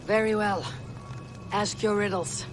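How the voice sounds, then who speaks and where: A woman's voice: medium pitch, fairly even intonation, measured pace, lightly acted. Stern Viking warrior woman over music, acted. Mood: composed. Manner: terse, commanding, formal. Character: stern, proud female Viking warrior, blunt and resolute. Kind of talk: video game cutscene acting.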